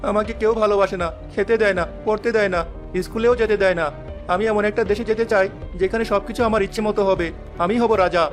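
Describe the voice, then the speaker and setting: Woman playing fast-talking, plaintive village boy. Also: mid-range voice, fairly even intonation, lightly acted. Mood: sad, earnest. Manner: plaintive, pleading. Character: sad, mistreated young village boy, humble and earnest. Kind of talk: children's fairy-tale cartoon dubbing. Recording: music under the voice.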